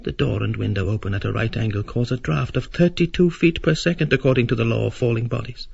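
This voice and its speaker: A man playing a meek, submissive middle-aged character in an audiobook drama, speaking in florid, pompously wordy, halting phrases. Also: medium pitch, vocal fry, a little mellow, husky, dry recording, lo-fi sound. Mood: plaintive, wordy.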